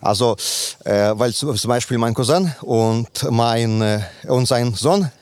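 A man from Ukraine, a volunteer helper, answering interview questions in a halting way with many fillers and restarts. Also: medium pitch, darkish tone, smooth, no room sound.

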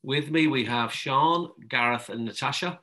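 Bright-voiced male webinar host. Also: medium pitch, measured pace. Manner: friendly, joking, loosely phrased. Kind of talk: hosting a webinar panel. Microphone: video call.

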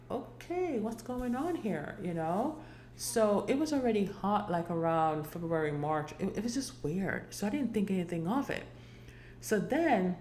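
A woman's voice: on the low side, a little mellow, echoey room, slight background noise. A woman in her forties hosting a casual solo podcast, chatty and rambling, with many fillers and restarts. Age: 40s. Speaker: podcast host.

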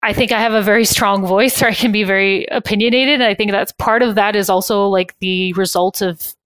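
A woman's voice: medium pitch, fairly even intonation, a little fast, fairly bright tone, clear, resonant tone, no room sound, poor audio. Candid reflective woman, dry sound. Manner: reflective, candid, frequent fillers. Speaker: guest. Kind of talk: conversational interview.

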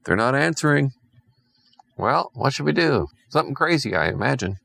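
A man voicing an excitable, unhinged male space crewman in a bedtime story, talking in a jittery rush. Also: mid-range voice, moderate pace, gravelly, dry recording, voice pushed up, full character voice. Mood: frantic.

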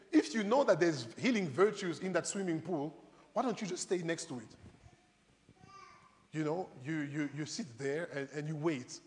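High-voiced male preacher in a reverberant hall. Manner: conversational, rhetorical questions, addresses congregation directly. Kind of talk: animated sermon with acted-out Bible stories. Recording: reverberant hall, long echo.

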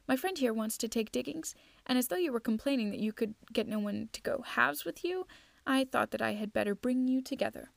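A woman's voice: fairly high pitch, animated, a little fast, gravelly, dry recording. A woman voicing a wry, teasing male go-between in a storybook reading, with a light, lilting manner. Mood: matter-of-fact.

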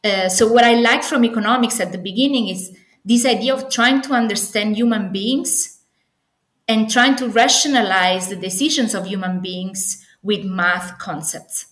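A woman's voice: medium pitch, moderate pace, fairly bright tone. An Italian-accented woman from Naples answering as an academic interview guest, enthusiastic but often hesitating and correcting herself. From Naples, Italy. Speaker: podcast guest, assistant professor. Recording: echoey, roomy sound.

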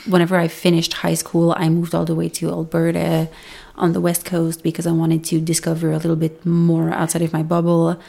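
A young woman from Montreal speaks English with a Québécois French accent, her native tongue being French. Her voice is dark and mellow with a gravelly fry, and her pitch stays flat and steady. She tells her story in a relaxed, reflective way. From Montreal, Canada. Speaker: podcast guest. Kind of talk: casual interview talk.

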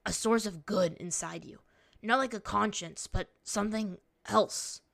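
Lady reader as a young guy in a fantasy story, earnest, kinda pleading, stubborn but soft underneath. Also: medium pitch, measured pace, creaky. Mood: earnest, searching.

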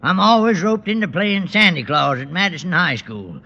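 A crotchety, spry old man of eighty-seven in a vintage radio comedy. His voice is high, sharp and gravelly, swinging widely as he talks in spirited slang. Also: lively intonation, moderate pace, muffled recording, exaggerated voice. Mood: cranky. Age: 80s. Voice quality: creaky, gravelly. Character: cranky, lively, girl-chasing 87-year-old man. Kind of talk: 1940s-50s radio comedy acting. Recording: old radio recording, reverberant, faint noise.

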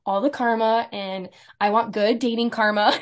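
A woman in her thirties with a fairly deep voice, telling a dating story in casual chat and acting out the quotes. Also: normal speed. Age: 30s. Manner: animated storyteller, acts out quotes, laughs often. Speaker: podcast guest, esthetician.

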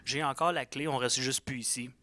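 A man with a Quebec French accent and a bright, forward voice at a middle pitch, recorded close and dry. He talks like a podcast co-host telling stories to a friend, animated and slangy, with swearing thrown in. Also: brisk, dry recording. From Quebec, Canada. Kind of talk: casual chat and storytelling.

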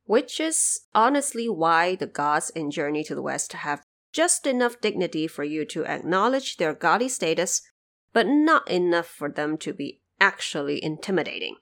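Lower-pitched woman explaining, informal and wry. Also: very animated, moderate pace, a bit bright. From China. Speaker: podcast host. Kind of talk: explanatory podcast commentary on folklore.

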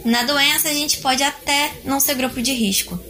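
A woman playing an outspoken, caring but sarcastic young flatmate in an audio drama, with a Brazilian accent and colloquial speech. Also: high voice, moderate pace. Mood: wistful. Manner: colloquial, direct.

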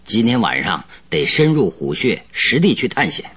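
A man playing a confident, shrewd detective in a radio drama, speaking in a husky, assured, deliberate way. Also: fairly low pitch, sing-song, noisy background. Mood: determined. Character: confident, shrewd male detective planning a night investigation.

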